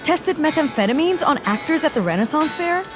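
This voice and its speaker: A brash, hotheaded agent, voiced by a woman, who hurls insults freely. Her voice sits high and leaps around expressively. Faint music plays under her. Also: animated, a little fast. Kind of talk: comedy audio drama acting.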